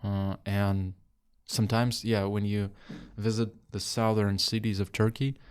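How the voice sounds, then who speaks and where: Lowish-voiced man from Russia, rambling. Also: level tone, unhurried, smooth. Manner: rambling, explanatory, many fillers. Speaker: podcast host. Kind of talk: casual interview chat.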